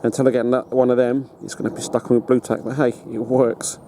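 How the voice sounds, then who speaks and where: British man, mellow voice, casual demo. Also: medium pitch, little pitch movement, fast talker. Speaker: hobbyist presenter. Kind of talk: casual hands-on demonstration. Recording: noticeable background noise.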